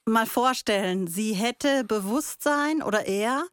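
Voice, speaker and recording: A woman's voice: a little high, slow pace, smooth, dry recording, studio quality. A woman hosting a casual analytical discussion, summing up and probing with questions. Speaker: discussion host.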